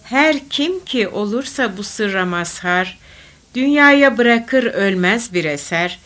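Female poetry reader: unhurried, declamatory recitation of folk verse with a lively, wide-moving pitch.

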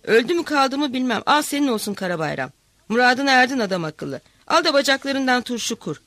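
A woman reading a novel aloud, voicing a rough village man who shouts curses. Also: sits high, big pitch swings, brisk, no echo. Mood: scornful.

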